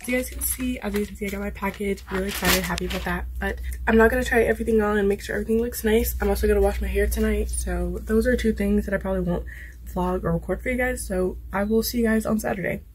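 A woman's voice: mid-range voice, steady tone, fast talker, poor audio. A young woman talking casually to the camera in her bedroom, rambling with lots of fillers. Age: young adult. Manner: chatty, rambling, many fillers. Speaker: vlogger. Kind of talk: casual vlog talk to camera. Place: bedroom at home. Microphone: webcam or phone camera close up.

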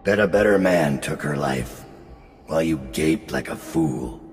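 An actor voicing a grim, guilt-ridden middle-aged master assassin in a video game, brooding, deliberate and menacing, with a gravelly voice. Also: male voice, very deep voice, animated, faint music behind, full character voice. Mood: defiant. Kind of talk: video game voice acting, dramatic monologue.

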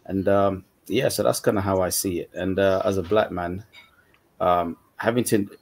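A man's voice: low voice, steady tone, moderate pace, vocal fry, breathy edge. A man from Uganda, a guest mentor, talking casually on a video call from a dim room, with frequent "you know" fillers. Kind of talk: casual panel discussion.

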